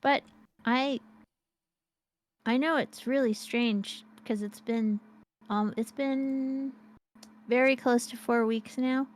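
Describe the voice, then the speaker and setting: A woman's voice: on the high side, fairly even intonation, slow, long pauses. A woman co-hosting a game stream, chatting in a playful, rambling way and often restarting her sentences. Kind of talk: casual gameplay chat.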